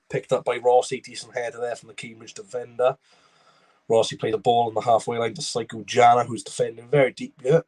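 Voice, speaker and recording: A man's voice: mid-range voice, darkish tone. A young Welsh man hosting a live football watchalong, talking casually about the game and answering viewers' comments in a loose, rambling way. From Wales. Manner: rambling, chatty, reads viewer comments aloud. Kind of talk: live match reaction and chat reading.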